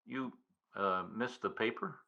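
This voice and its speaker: A man playing a bashful, folksy reporter courting his sweetheart in an old-time radio comedy, with a hesitant, halting manner. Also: mid-range voice, creaky, bright, forceful tone, muffled recording. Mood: bashful, nervous. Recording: video-call radio play recording.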